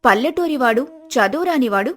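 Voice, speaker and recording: A woman's voice: high-pitched, lively intonation, a little fast, fairly bright tone, faint music behind. A woman storyteller reading scripted narration for a moral tale.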